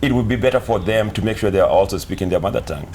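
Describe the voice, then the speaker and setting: A man's voice: lowish voice, very fast, darkish tone, a little hiss or hum. A man jumping eagerly into a family interview, stumbling over repeated words as he makes his point. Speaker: interview guest, family member. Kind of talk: interview conversation.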